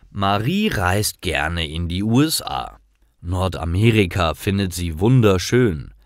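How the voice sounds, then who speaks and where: A man's voice: deep voice, no room sound, studio quality. A man narrating simple scripted stories for language learners, carefully, in short sentences.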